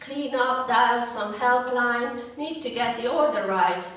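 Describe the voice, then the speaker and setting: Slow woman poet, echoey room. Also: on the high side, fairly bright tone. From Hungary. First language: Hungarian. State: slightly croaky from illness. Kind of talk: live poetry reading with short spoken introductions. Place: indoor live event room. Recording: echoey room, distant mic.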